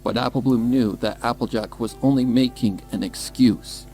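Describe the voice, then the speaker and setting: A man narrates a written story in a voice of medium pitch. He reads from a script as a storyteller, with soft piano music running behind the words. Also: fairly even intonation, normal speed. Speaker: fan fiction reader.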